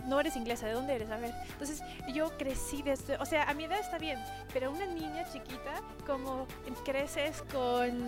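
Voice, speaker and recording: A young woman, an illustrator answering interview questions in a rambling way with many restarts. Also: sits high. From Mexico and the UK. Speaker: illustrator guest.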